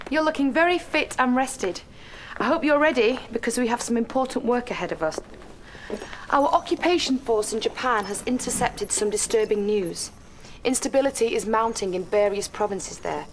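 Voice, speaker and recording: An actress playing a poised, friendly Allied intelligence officer, delivering a courteous, businesslike briefing in a video game. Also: female voice, on the high side, moderate pace, slight background noise, close to an everyday voice, low-quality recording. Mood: welcoming.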